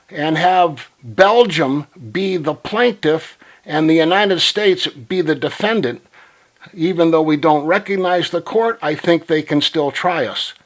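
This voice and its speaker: A man making a phone call to pitch his ideas, speaking earnestly and insistently as if reciting slogans. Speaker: caller.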